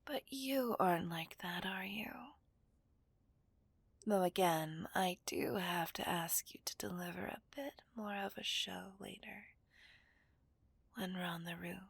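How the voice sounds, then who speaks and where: A woman's voice: on the low side, a little slow, gravelly, long pauses, slightly rough, no room sound, exaggerated voice. A woman playing a dominant, taunting female villain in an ASMR roleplay, whispering breathily and possessively to a hostage. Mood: possessive, gloating.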